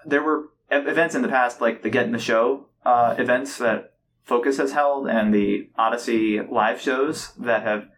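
A young man hosting a casual podcast chat, enthusiastic and somewhat halting in his delivery. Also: medium pitch, fairly bright tone, low-quality recording. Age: young adult. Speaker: podcast host. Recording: noticeable background noise.

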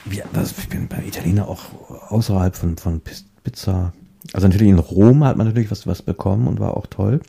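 A man chatting casually in a rambling, halting way, often repeating words as he searches for the next one. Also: lowish voice, creaky, dark tone, husky.

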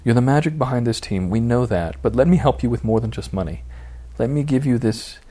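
A man's voice: mid-range voice, animated, a little fast, warm tone, breathy edge. A man voicing a persuasive, testy baseball team owner in an audiobook, wheedling, pleading and insistent. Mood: coaxing. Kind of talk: audiobook character dialogue.

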